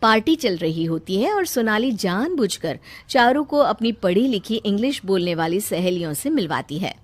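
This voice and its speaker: A woman's voice: medium pitch, sing-song, moderate pace. A woman reading scripted storytelling narration for a cartoon tale.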